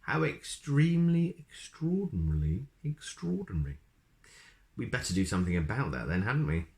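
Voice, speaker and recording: A man's voice: fairly low pitch, very animated, normal speed, gravelly, darkish tone, voice pushed up. A man voicing a wise old wizard in a children's storybook, pompous and emphatic, given to grand exclamations. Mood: scornful, amazed.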